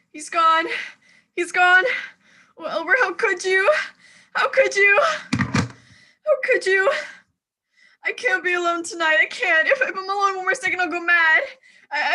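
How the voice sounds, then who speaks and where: A woman's voice: very high voice, unhurried, pauses often, bright, forceful tone, full character voice. A woman playing a panicking, demanding, self-pitying invalid wife in an old-time radio thriller, fretful and stammering. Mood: hysterical, tearful.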